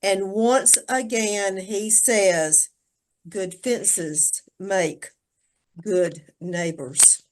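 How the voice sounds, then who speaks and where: A woman's voice: mid-range voice, steady tone, extremely slow, a little mellow. A woman giving a theatrical narration of poetry and scene-setting as a narrator.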